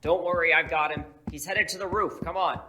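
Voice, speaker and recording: Bright, steady superhero mentor, played by a man. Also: fairly high pitch, brisk, slight background noise. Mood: commanding. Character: steady male superhero mentor, protective, in command. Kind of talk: audio drama acting.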